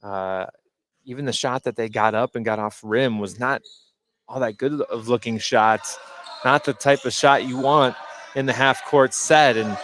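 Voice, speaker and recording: A man giving conversational color analysis during a live basketball broadcast, thinking aloud in a halting way. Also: medium pitch, fairly bright tone. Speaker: color commentator. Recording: live broadcast with faint background noise.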